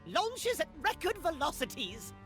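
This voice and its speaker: An actor playing a tiny, excitable old male inventor creature in a video game, pedantic and full of exclamations. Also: very high-pitched, a little slow, heavily acted. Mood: excited. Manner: pedantic, scientific jargon, exclamatory. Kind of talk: video game character voice lines. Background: music.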